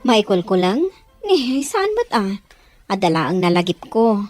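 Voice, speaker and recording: A woman's voice: medium pitch, big pitch swings, a little slow, a little mellow, soft background music. A woman with an Ilocano accent playing a flustered, evasive mother in an audio drama. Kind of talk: radio drama acting.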